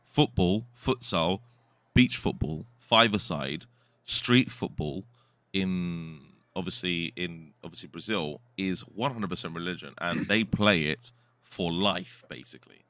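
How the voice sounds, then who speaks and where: Deep, crisp-voiced chatty male commentator. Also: unhurried, a bit bright, no room sound. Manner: chatty, reads viewer comments aloud. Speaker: host and co-commentator. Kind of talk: casual live stream commentary.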